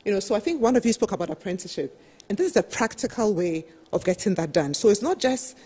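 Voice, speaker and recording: A middle-aged woman speaks at a business panel in a medium-pitched, slightly husky voice. She explains her points emphatically, peppering them with "you know". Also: very animated, fast, mellow. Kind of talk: business presentation at a televised roundtable. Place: television studio, seated at a desk.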